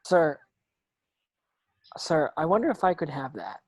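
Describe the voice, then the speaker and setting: A man's voice: on the high side, very animated, a little slow, darkish tone, husky, heavily acted. A man playing a brave, polite, determined schoolboy in a drama read-through, speaking earnestly. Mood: urgent.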